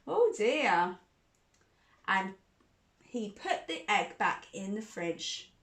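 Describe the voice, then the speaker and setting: Bright, exuberant woman hosting children's storytime. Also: mid-range voice, sing-song, drawn-out words. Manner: exuberant, sing-song, addressing young listeners. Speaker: storytime host. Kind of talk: children's bedtime story reading.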